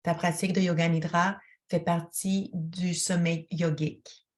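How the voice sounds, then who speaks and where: Very slow, low-pitched Québécoise meditation guide. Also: female voice. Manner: calm, soothing, instructional. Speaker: yoga teacher guiding a relaxation. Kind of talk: guided meditation, addressing one listener.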